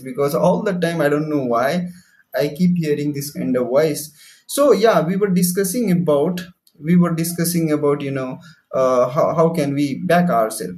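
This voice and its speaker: Earnest man, Hindi native, mellow voice. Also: fairly high pitch, rough recording. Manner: earnest, repetitive, addresses viewer directly. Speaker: English teacher. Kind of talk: motivational talk to camera. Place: ground-floor room at home.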